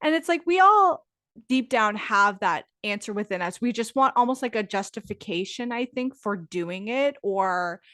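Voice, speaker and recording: Woman, podcast host, reflective and eager, mid pitch, dead-dry room. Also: lively intonation, moderate pace, pushed and bright, no echo. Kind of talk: casual conversational interview.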